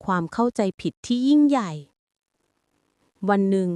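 A woman reading a bedtime story aloud in a slow, soothing way. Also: a little high, animated, warm tone, no room sound.

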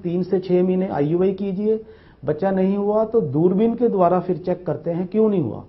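Measured Punjabi-accented doctor, high voice. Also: male voice, lo-fi sound. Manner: measured, with hesitant fillers. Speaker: fertility doctor. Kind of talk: explaining a medical topic. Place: office, seated at a desk.

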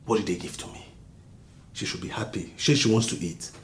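An actor with a Nigerian accent playing a bitter self-made husband who rants at his wife about his upbringing, swinging from low mutters to shouts. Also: fairly quick, slight background noise, lightly acted. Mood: angry. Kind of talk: melodrama acting.

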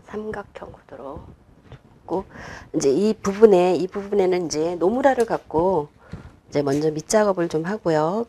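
한 중년 여성 꽃꽂이 강사가 실내 스튜디오에서 작업을 하며 설명하고, 말끝에서 자주 망설이며 혼잣말처럼 속삭이듯 말해요. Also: female voice, fairly low pitch, dark tone, a little hiss or hum. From Korea. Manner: unhurried, talks while working, many fillers and half-whispered asides. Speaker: flower arrangement instructor. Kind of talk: demonstration lesson while working. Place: indoor studio with a flower table.

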